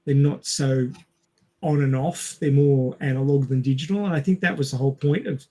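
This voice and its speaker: A man's voice: mid-range voice, fairly even intonation, moderate pace, dark tone. A man in a casual panel discussion, explaining technical things step by step. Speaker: podcast panelist.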